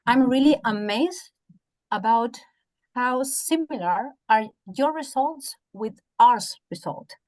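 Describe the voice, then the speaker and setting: A woman from Chile with a Chilean accent and native Spanish, giving halting feedback as a university educator on a video call, searching for words. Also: a little high, expressive, darkish tone, poor audio. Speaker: university educator, audience member commenting. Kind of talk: spoken feedback in an online academic discussion.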